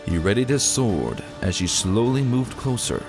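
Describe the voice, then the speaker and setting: A man's voice: mid-range voice, sing-song, moderate pace. A man reading a fantasy story aloud in a solemn, theatrical manner. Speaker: audiobook narrator. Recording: music behind the voice. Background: music.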